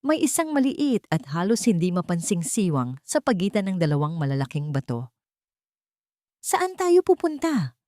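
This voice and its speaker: A woman's voice: on the low side, expressive, fairly quick, dark tone, no room sound, clean studio sound. A woman giving a scripted, dramatic narration of a story.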